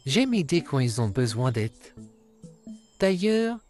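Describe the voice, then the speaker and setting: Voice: medium pitch, sing-song, slow, a little mellow, soft background music. A performer voicing a polite, eager, helpful male puppet come to life in a cartoon comic dub. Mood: friendly, curious.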